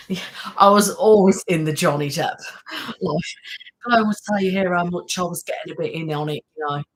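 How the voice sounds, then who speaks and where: A soft-spoken woman chats casually on her ASMR live stream. She greets her viewers by name and answers their questions in a relaxed, rambling way, with plenty of fillers. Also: lowish voice, moderate pace, pauses often. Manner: chatty, reads and greets viewers' comments. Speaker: ASMR channel host.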